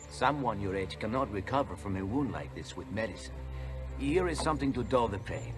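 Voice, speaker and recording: Man acting animated Renaissance doctor. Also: lowish voice, fairly quick, over music, close to an everyday voice. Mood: professional. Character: frank, businesslike Renaissance Italian male doctor.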